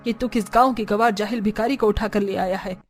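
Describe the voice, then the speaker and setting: A woman's voice: mid-range voice, fairly even intonation, a little fast, faint music behind, close to an everyday voice. A woman voicing a snobbish, scornful, rich mother-in-law in a cartoon story. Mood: scornful, outraged. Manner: scornful, sneering.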